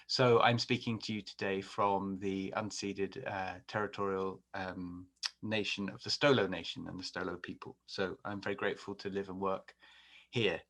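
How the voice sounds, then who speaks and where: A man's voice: lowish voice, a bit bright. A middle-aged man from England with an English accent, giving a conversational talk on a webinar with many fillers and restarts. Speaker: podcast host and webinar presenter.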